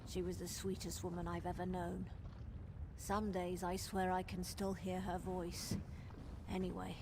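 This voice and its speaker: A woman's voice: lowish voice, background noise. An actress playing a sarcastic, defiant noblewoman, someone's grown daughter, in a video game, with a dry and irritable manner. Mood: wistful. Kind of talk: video game character acting.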